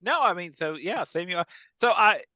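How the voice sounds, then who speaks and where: A man's voice: high voice, expressive, moderate pace, bright, forceful tone, no room sound. A middle-aged man co-hosting a podcast in casual, joking panel chat. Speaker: podcast co-host.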